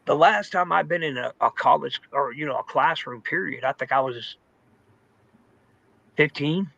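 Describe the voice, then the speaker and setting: A man tells his story in a candid, informal way, like talking to friends. His voice is forward and bright with a creaky, gravelly grain. The sound is close and dry, if a little dull and lo-fi. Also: a little high, normal speed, no room sound. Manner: informal, candid, peppered with "man", "dude" and "you know". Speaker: testimony guest in recovery. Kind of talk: personal testimony, conversational storytelling.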